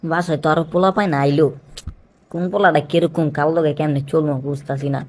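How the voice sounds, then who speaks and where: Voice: mid-range voice, steady tone, quick pace, close to an everyday voice. A voice actor playing a stingy, joking male bachelor who talks in rhymes. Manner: rhyming, joking banter. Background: cartoon background music.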